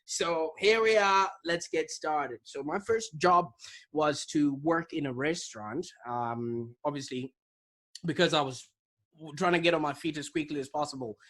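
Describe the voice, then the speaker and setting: Animated man telling a story. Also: on the high side, a little slow. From Zimbabwe. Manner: animated, vivid storyteller with repetitions. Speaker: guest, digital marketing business owner. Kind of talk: storytelling in an interview. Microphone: video call.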